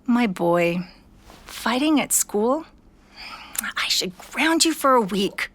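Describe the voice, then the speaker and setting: A woman's voice: on the high side, lively intonation, takes it slowly, fairly bright tone, full character voice. A woman playing a concerned, loving mother of a schoolboy in a family audio drama. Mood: concerned, scolding.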